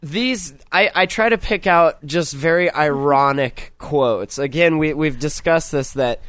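A man with a gruff voice hosting a casual podcast chat, rambling loosely and laughing as he talks. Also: on the high side, measured pace, a bit bright, no room sound. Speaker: podcast host.

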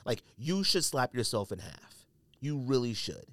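An American man delivers opinionated podcast commentary in a very animated voice that rises and falls freely around an average male pitch. His speech is colloquial and emphatic, hammering points by repetition. The recording is dry and close, with no room sound. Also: measured pace, dry recording. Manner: emphatic, repeats phrases for stress; colloquial, slangy. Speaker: podcast host. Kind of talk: opinionated solo sports and sneaker commentary.

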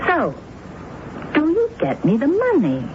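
A woman's voice: sits high, huge pitch swings, measured pace, heavily acted. A woman playing a shrewd, manipulative, coaxing actress in a radio drama. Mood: bargaining, threatening. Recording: muffled, noisy old radio recording.

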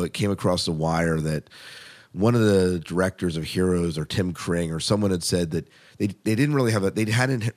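A man with a fairly deep voice hosts a casual review podcast. He talks in a conversational way, like someone steering a relaxed chat with a co-host.

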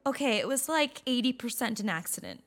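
Fantasy audio drama: actress as blunt, sarcastic young woman, high and animated. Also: brisk, natural voice. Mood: defensive. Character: defiant, foul-mouthed young woman in a fantasy world.

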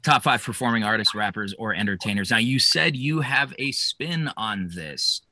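A man hosting a podcast interview, playful and encouraging, with quick affirmations. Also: on the low side, bright, forceful tone, a little hiss or hum. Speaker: podcast host.

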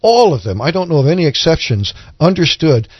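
A man co-hosting a radio Bible programme, explaining scripture in an earnest, measured teaching manner. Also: a little high, big pitch swings, husky, no echo, dull audio. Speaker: radio Bible commentator and co-host. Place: radio studio.

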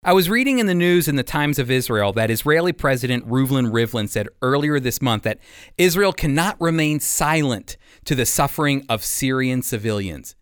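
An American man hosting a radio Bible program, giving scripted teaching and commentary in an earnest, deliberate way with emphasis on key phrases. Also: medium pitch, expressive, clean tone, no room sound, studio quality.

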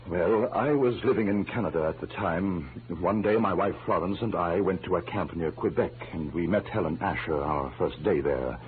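Male actor for troubled rich widower in vintage radio mystery: deep voice, courteous, solemn, halting, over thin hissy archival sound. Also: phone-quality sound, lightly acted. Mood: hesitant explaining. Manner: polite, hesitant, solemn. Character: shaken, courteous wealthy male householder, apologetic widower. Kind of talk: 1940s radio drama acting.

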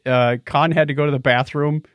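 A man's voice: fairly high pitch, fairly even intonation, brisk, clear, resonant tone, dry recording, clean studio sound. A middle-aged man chatting casually and conversationally as a podcast co-host. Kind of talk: casual chat.

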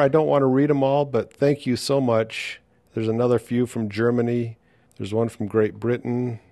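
A man's voice: on the low side, a little mellow, no echo. A man hosting a podcast, talking casually and chattily to his listeners. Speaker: podcast host and interviewer. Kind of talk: casual podcast talk, reading listener reviews and announcements.

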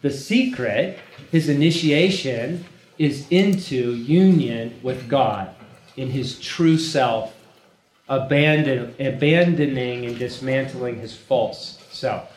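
A man's voice: medium pitch, slow pace, darkish tone. A man preaching in an informal, conversational way to a live group, emphatic and repeating phrases for weight. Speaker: preacher leading a teaching session. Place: room, speaking live to a gathering. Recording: echoey room with background noise.

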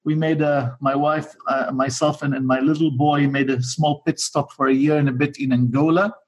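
Husky middle-aged Israeli man, slightly high voice. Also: level tone, moderate pace. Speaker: interview guest, businessman. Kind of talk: conversational life story in an interview.

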